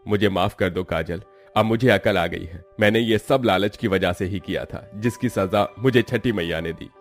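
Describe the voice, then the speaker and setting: A man acts out a contrite, once-greedy man in a moral story. His voice is on the low side, with faint music behind it. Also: normal speed. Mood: remorseful. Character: repentant greedy man begging forgiveness.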